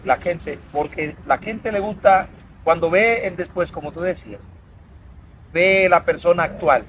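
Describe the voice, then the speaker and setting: A man hosting an interview, introducing his guest in an animated, promotional way. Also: high-pitched, low-quality recording. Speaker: interview host. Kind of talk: conversational interview. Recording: outdoor traffic noise.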